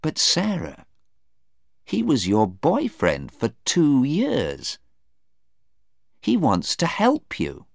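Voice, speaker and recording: A man's voice: fairly high pitch, huge pitch swings, extremely slow, gravelly, pauses often, dry recording, clean studio sound, voice pushed up, full character voice. A man voicing an earnest middle-aged mother in an audiobook, soothing and coaxing. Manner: reassuring, coaxing. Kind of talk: audiobook character voice.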